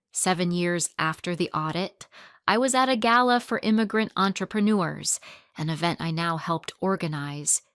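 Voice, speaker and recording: A woman narrating a first-person story in a calm, even storytelling manner. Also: lowish voice, no room sound, clean studio sound.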